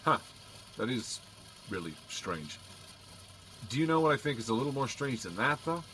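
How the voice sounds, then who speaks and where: A man's voice: mid-range voice, moderate pace, slight background noise. An actor playing a wry male police officer who teases and jokes dryly. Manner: dry joking, lively. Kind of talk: audio drama acting.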